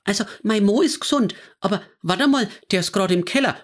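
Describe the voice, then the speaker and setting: A man voicing an agitated elderly woman, talking fast on the telephone. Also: medium pitch, pitched above the performer's own voice, lightly acted. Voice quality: raised excited voice, dialect-heavy.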